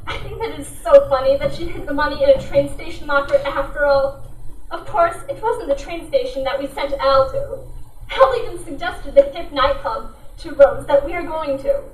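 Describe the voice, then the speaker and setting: A very high, theatrical voice in an echoey room: a woman acting a scornful lady crook. She is mocking and hot-tempered, in amateur radio crime drama style, a little far from the mic. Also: normal speed, natural voice. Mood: gloating. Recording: echoey room, distant mic, faint noise.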